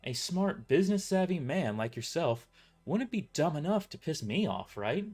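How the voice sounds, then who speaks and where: A man's voice: sits high, huge pitch swings, normal speed, darkish tone, soft background music, pitched above the performer's own voice. A man doing a sarcastic tough young woman. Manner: sarcastic, mocking.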